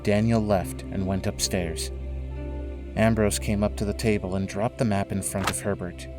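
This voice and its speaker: A man narrating a scripted dramatic story in a measured, solemn way, with music behind him. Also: on the low side, steady tone. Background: prominent music.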